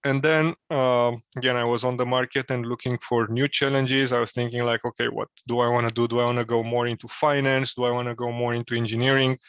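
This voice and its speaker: Male interview guest, thoughtful with many pauses, mid pitch, dull dry video-call sound. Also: flat delivery, fairly bright tone, clear, resonant tone, no echo. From Romania. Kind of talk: casual career interview.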